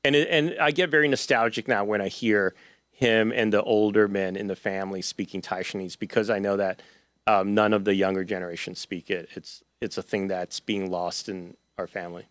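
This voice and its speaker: Low-voiced man answering audience questions. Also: steady tone, brisk, a bit bright, no room sound. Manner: loose, conversational, with many fillers and restarts. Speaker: author. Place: event hall.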